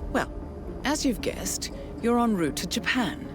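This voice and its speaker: A woman playing a composed, authoritative intelligence handler in a video game, briefing an assassin in formal, measured, articulate speech. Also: a little high, big pitch swings. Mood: composed, dry. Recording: background music under the voice.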